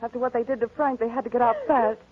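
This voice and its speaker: A woman's voice: a little high, fairly even intonation, fast talker, a little hiss or hum, lightly acted. An actress playing an anxious, earnest young woman client in trouble, in 1940s radio drama style. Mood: anxious, breathless. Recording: vintage 1940s radio broadcast, narrow band.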